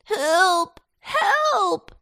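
Woman voicing a frantic, high-pitched little potato boy. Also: sing-song, drawn-out words, vocal fry, bright, forceful tone, heavily acted. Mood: panicked wailing. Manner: bawling, crying out. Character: frantic small male potato, whining and quarrelsome. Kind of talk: children's storybook character voice.

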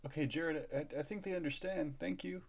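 A man's voice: on the high side, fairly quick, a little mellow, no room sound. A man hosting a podcast, giving a conversational introduction to the show. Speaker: show host.

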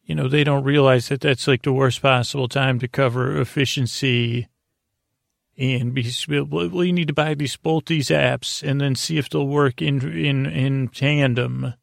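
A middle-aged man with a creaky voice hosts a sleep podcast, meandering haltingly through a self-deprecating bedtime monologue. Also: mid-range voice, fairly even intonation, no echo, clean studio sound. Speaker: podcast host.

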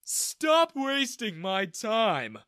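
A man voicing an arrogant, mocking male bank manager in an animated story. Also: high voice, very slow, clear, resonant tone, no room sound, studio quality, pitched above the performer's own voice, exaggerated voice. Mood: sneering. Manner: mocking, scornful.

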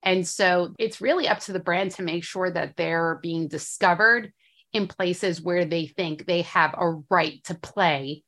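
A woman's voice: fairly low pitch, bright, forceful tone. Upbeat businesslike woman co-host. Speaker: podcast co-host. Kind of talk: podcast hosting and commentary.

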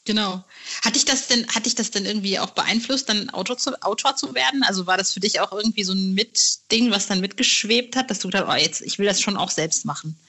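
A woman's voice: mid-range voice, fairly quick, sharp tone. A middle-aged woman interviewing casually, with an informal, enthusiastic way of talking. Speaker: podcast interviewer.

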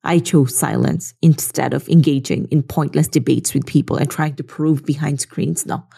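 A woman's voice: sits low, normal speed, gravelly, warm tone, breathy edge. A woman from the Philippines, talking earnestly in a casual reflective monologue and often checking in with "right?". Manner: earnest, leans on "you know" and "right?". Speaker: social media creator.

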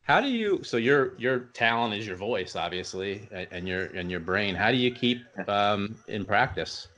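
A man's voice: fairly low pitch, lively intonation, moderate pace, sharp tone, dull audio. A middle-aged man hosting a podcast in a casual interview style. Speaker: podcast host.

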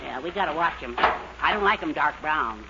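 A man's voice: a little high, expressive, brisk. An American man playing a fussy, blustering henpecked husband in a 1940s radio sitcom. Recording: muffled, very noisy 1940s radio recording.

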